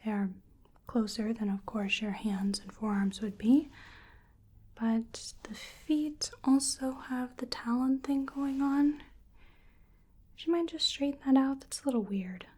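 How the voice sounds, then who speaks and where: A woman speaking in a breathy, whispery soft voice, role-playing a medical examiner in an ASMR style, gentle and hesitant as she murmurs to herself. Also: high-pitched.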